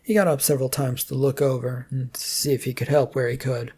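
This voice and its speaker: A narrator reading a piece of fiction aloud in an amateur style, with halting phrasing. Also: mid-range voice, steady tone, mellow, husky. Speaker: story narrator.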